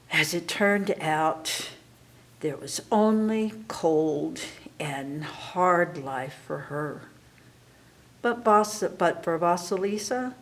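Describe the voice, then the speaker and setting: A woman librarian reading a fairy tale aloud at storytime. Her voice sits low and her delivery is slow and unhurried. She reads gently and at times drops to a soft whisper. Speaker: children's librarian.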